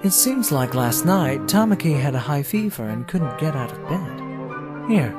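Composed teen boy , female actor: low, measured but inflected, matter-of-fact, loud music underneath. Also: very animated. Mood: calm. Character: cool, composed bespectacled teenage boy. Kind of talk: fan manga dub acting and narration.

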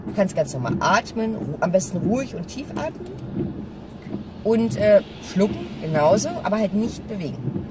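A woman's voice: mid-range voice, lively intonation, moderate pace. A middle-aged woman chatting casually in a vlog while driving, explaining things directly to the viewer. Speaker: vlogger. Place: inside a small car, driving. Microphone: dashboard-mounted camera. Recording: distant mic, loud car noise. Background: car and road noise.

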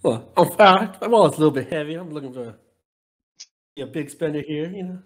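Man, tabletop player, casual roleplay, raised pitch, very animated intonation. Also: fairly quick.

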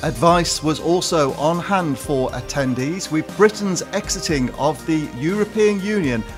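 A man's voice: fairly high pitch, unhurried, over music. A man reading a scripted news voice-over as a reporter.

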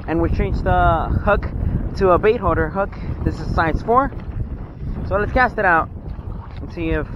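A man's voice: sits high, a little slow, highs cut off. A young man from Texas giving upbeat, casual commentary to viewers while fishing on a rocky jetty, recorded on a body-worn action camera. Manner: upbeat, exclaims over each catch, addresses viewers as guys. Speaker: fishing vlogger. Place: outdoors on a rocky jetty by open water. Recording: wind noise outdoors. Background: wind and water.

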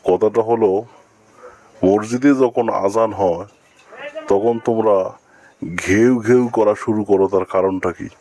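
A man's voice: on the low side, fairly even intonation, unhurried, pauses often, mellow, close to an everyday voice. A man playing a sly, well-fed fox in a cartoon moral story, chatting in a folksy, politely curious way.

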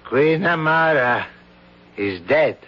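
A suave, sinister court official in an old radio drama, talking slowly and silkily as he makes quiet threats. The voice sits a little high, and the broadcast sounds dull and lo-fi. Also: lively intonation. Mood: smooth. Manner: smooth, silky and sinister, theatrical. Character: suave scheming male court official, menacing. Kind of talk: 1970s radio drama acting.

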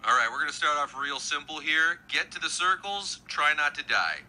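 Tired office guy, acted by a man, swooping pitch. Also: animated, normal speed, very noisy, natural voice. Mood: instructing. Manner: weary, grumbling, casual. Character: tired, laid-back male robot-testing office worker. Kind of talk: video game voice acting. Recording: music behind the voice.

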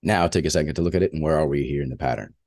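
A man's voice: very deep voice, rapid-fire, a little mellow, dry recording, studio quality. A man who is a flight instructor, giving a plainspoken slide lecture on flying with frequent filler uhs.

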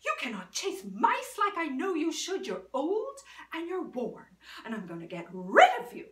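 A woman's voice: high voice, wildly swooping pitch, moderate pace, fairly bright tone, full character voice. A woman storyteller playing a bossy mistress of the house, exclaiming and scolding. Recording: roomy echo, distant microphone.

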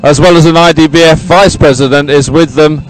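High-pitched male live sports commentator. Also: fairly even intonation, a little fast, soft background music, low-quality recording. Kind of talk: live, ad-libbed event commentary.

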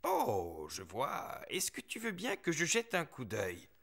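A man voicing a kindly, gentle adult bear doctor in a French cartoon dub. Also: on the high side, huge pitch swings, slow pace, vocal fry, full character voice.

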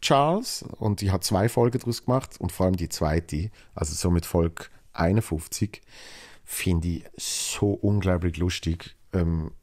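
A young man with a Basel Swiss German accent hosts his own solo podcast, his voice sitting deep and low. He wanders through his thoughts with plenty of fillers, false starts and repeated words. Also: unhurried, a little mellow. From Switzerland. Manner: rambling, many fillers and restarts. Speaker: podcast host. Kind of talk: solo podcast, reading listener mail.

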